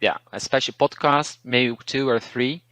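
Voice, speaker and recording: A man's voice: medium pitch, no room sound. Belgian man giving language tips. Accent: Belgian Dutch. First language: Dutch. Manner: relaxed, thoughtful, restarts words and pauses often. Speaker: language teacher, guest. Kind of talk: interview, casual advice.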